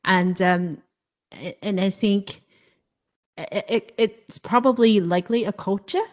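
A woman's voice: mid-range voice, takes it slowly, a little mellow. Earnest woman from Hong Kong, interviewing. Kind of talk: conversational interview.

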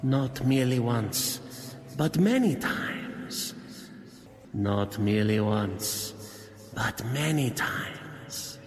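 An actor playing a sinister cult leader in a 1940s-style radio drama, commanding and falsely courteous as he hypnotizes with deliberate speech. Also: medium pitch, expressive, long reverb tail, soft background music. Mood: insistent, menacing.

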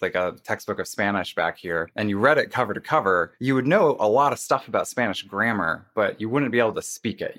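A man speaking as a guest on a podcast, talking through ideas in a relaxed, explanatory way. His voice sits fairly low. He sounds friendly and unhurried. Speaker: linguist guest. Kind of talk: casual interview explanation.

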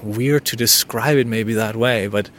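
Soft-spoken Danish man, hesitant and intimate. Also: on the low side, steady tone, unhurried, slight background noise. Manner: hesitant, many restarts and fillers, intimate. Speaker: podcast host. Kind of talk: unscripted solo podcast monologue.

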